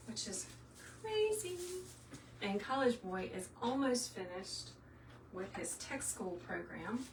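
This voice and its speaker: A middle-aged woman with a Southern US accent, hosting a live craft tutorial and chatting casually and folksily with her viewers. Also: mid-range voice. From South Carolina, US. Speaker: craft tutorial livestream host. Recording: roomy, distant mic, faint noise.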